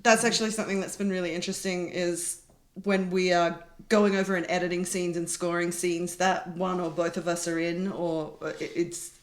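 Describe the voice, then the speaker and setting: A woman with a slightly low voice speaks casually in a group interview. Her voice carries clear room echo, and she talks as an editor and producer. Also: level tone, normal speed. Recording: echoey room.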